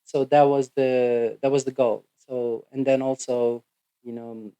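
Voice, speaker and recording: A man from Turkey with a Turkish accent, speaking English as a second language. He is a physical therapist guest on a podcast, and he answers haltingly with many "you know" fillers. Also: medium pitch, flat delivery, mellow, clean tone, dry recording, low-quality recording.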